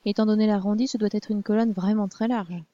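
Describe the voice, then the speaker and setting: Lady doing a proper young princess, formal talk, mellow voice, dry dull sound. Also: medium pitch, fairly even intonation, fast talker, no room sound, close to an everyday voice. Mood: earnest. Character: young princess, weary yet curious and proper.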